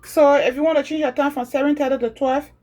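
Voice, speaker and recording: Liberian woman, home video creator, somewhat high voice, casual and repetitive step-by-step delivery. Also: fairly even intonation, a little mellow, slight background noise. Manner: chatty, repeats steps slowly.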